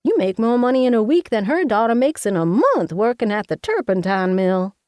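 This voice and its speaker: A woman's voice: fairly high pitch, very animated, brisk, a little mellow, no room sound, pitched above the performer's own voice. A woman voicing a fussy, outspoken older mother, talking in a folksy, scolding way. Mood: fussing.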